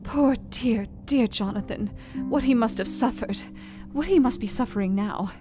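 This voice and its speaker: An actress playing an earnest young Victorian wife, reading her journal with precise, deliberate diction in a Gothic audio drama. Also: on the high side, close to an everyday voice. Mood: tender worry. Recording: music behind the voice. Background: instrumental music.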